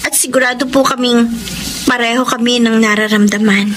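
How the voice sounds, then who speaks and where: A woman's voice: on the high side, steady tone, normal speed, fairly bright tone, slight background noise, lightly acted. A woman with a Filipino accent playing a sweet, lovestruck young daughter in a radio drama, girlish and emotive. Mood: earnest. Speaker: radio drama actress and narrator. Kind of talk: Tagalog radio drama acting.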